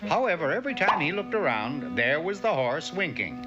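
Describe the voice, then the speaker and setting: A man's voice: on the high side, very animated, a little fast. A man playing a wry, fatherly storyteller narrating a comic cartoon fable. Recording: dull vintage soundtrack, loud music.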